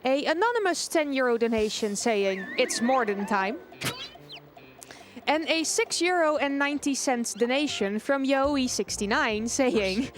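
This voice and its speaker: A woman hosting a gaming marathon, announcing and reading out donation messages. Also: high-pitched. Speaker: speedrun marathon host.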